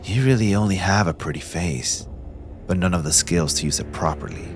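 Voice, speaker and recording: Low, condescending young mafia heir acted by a man. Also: moderate pace, a little hiss or hum, close to an everyday voice. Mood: mocking, menacing. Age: young adult. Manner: condescending, smoothly taunting, theatrically polite. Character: possessive, manipulative young male mafia heir, mocking and menacing. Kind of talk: scripted ASMR audio roleplay addressed to the listener.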